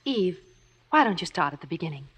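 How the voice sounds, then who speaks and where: An actress playing a kindly, sociable wife of a playwright in an old radio drama. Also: female voice, lowish voice, very animated, brisk, fairly bright tone, husky, heavily acted. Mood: friendly. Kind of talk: 1940s-50s radio drama acting. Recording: old radio broadcast recording.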